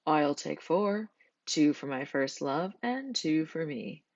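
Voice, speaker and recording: A woman voicing a devoted, cheerful gentleman goat in a children's storybook, earnest and polite. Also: sits low, animated, slow, no room sound, lo-fi sound, full character voice. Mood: pleased.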